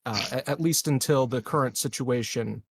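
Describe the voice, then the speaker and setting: A man playing a calculating, formal colony leader in a tabletop game, speaking deliberately and haltingly. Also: medium pitch, vocal fry, slightly rough, no room sound. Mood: measured, guarded.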